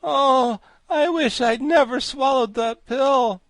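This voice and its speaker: A man voicing a plucky, excitable young boy in a storybook, quick to exclaim and sulk. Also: high voice, dry recording, voice pushed up. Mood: agonized. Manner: exclamatory, petulant. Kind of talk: storybook character voice.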